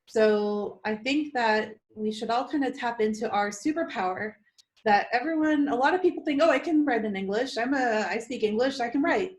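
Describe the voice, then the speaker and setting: A woman's voice: on the high side, normal speed. A woman from Texas, a UX writer, speaking casually on a panel with many fillers. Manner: chatty, lots of fillers.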